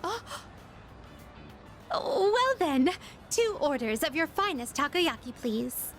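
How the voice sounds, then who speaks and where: A woman's voice: sits high, big pitch swings, takes it slowly, faint music behind, heavily acted. A woman playing an elegant, formal and naively curious young lady in a video game, speaking in ornate, precise words. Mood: curious, polite.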